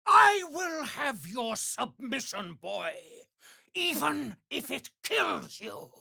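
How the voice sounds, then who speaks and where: Cruel vampire master for a video game: imperious, wildly swooping pitch, very slow and savoring. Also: male voice, very animated, gravelly, bright, forceful tone, slightly rough, no room sound, clean studio sound, full character voice. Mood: commanding, scornful. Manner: pompous, imperious, sneering. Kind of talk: theatrical video game villain acting.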